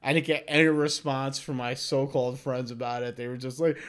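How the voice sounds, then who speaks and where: A man's voice: medium pitch, little pitch movement, fairly quick, clean tone. A man in his forties hosting a comedy podcast, chatting casually in a profane, rambling way. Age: 40s. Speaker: podcast host.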